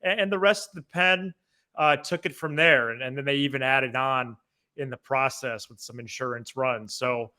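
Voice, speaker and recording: A man co-hosting a sports podcast in casual commentary, halting and repeating words as he thinks. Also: mid-range voice, fairly even intonation, sharp tone.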